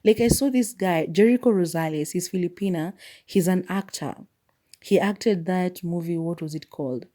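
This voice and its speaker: A woman's voice: fairly low pitch, normal speed, mellow. A young woman hosting a solo podcast, speaking her mind candidly and mocking what others say. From Kenya. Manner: opinionated, candid, mock-quotes people. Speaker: podcast host.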